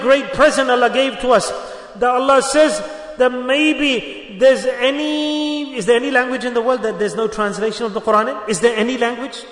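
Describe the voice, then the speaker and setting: A male preacher whose first language is Urdu delivers an exhorting sermon. His voice sits very high and sounds bright and forceful, and he drives points home with rhetorical questions and repetition. The recording carries the long reverb of a large hall.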